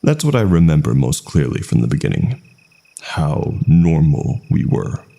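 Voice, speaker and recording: Male horror narrator, extremely low and slow, with vocal fry. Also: big pitch swings, mellow. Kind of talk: scripted first-person horror narration.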